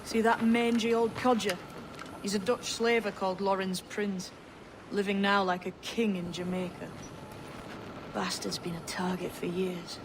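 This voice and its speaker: A man's voice: sits high, level tone, unhurried, background noise. A man playing a blunt, earnest assassin in a video game, speaking in a colloquial, matter-of-fact way. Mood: grim regretful. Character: blunt, earnest assassin devoted to a secret cause. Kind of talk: video game cutscene acting.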